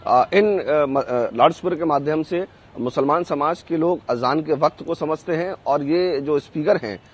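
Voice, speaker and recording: A male news correspondent reports live. His voice is somewhat high for a man, and he speaks haltingly, often pausing to find the next word. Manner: halting, searches for words with frequent hesitations.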